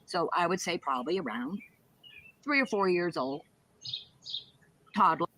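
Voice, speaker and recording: A woman's voice: fairly low pitch, animated, unhurried, a bit bright. A woman speaking bluntly and confrontationally in a casual, argumentative chat. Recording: noisy, with birdsong chirping behind.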